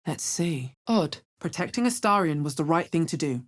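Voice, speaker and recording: A woman playing a guarded adventurer and spellcaster in a fantasy video game, with a husky, bass-heavy voice and a measured, wary, dry delivery. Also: expressive. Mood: musing, dry. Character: guarded, low-voiced adventurer woman, player hero who casts spells.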